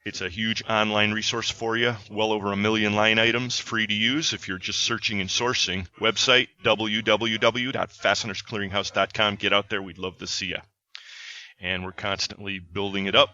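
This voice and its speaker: A male podcast host talks in a chatty, upbeat way, slipping in plugs. His voice is very bright and forceful, pitched a little low. The sound is dull and lo-fi. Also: level tone, moderate pace, dry recording. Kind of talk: casual chat with plugs.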